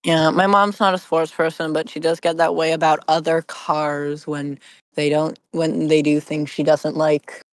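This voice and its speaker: A person in a casual group chat, speaking in brief, deadpan remarks. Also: mid-range voice, measured pace, dry recording.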